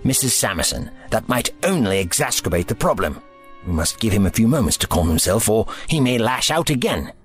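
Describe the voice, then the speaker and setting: A deep-voiced, eccentric investigator, played by a man in an audio drama. He speaks politely and formally, with calm authority, and his pitch moves about a lot over background music. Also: brisk. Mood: reassuring. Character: eccentric, courteous male time-travelling investigator, calm and analytical.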